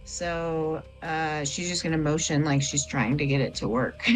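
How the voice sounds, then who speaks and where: Low-voiced woman chatting through her turn. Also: expressive, measured pace, rough recording. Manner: chatty, rambling with "like" and restarts. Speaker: player. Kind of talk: casual tabletop play.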